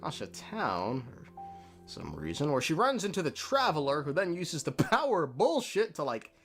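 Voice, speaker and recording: A man narrates a game lore recap with plenty of swearing and slang. His delivery is animated and irreverent, with music underneath. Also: a little high, moderate pace.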